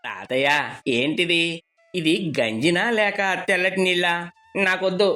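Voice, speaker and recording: A man's voice: high-pitched, expressive, a little slow, sharp tone, faint music behind, exaggerated voice. A man playing a small, curious, fussy grandson in a storybook, questioning and complaining. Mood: disgusted. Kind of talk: acted moral storybook dialogue.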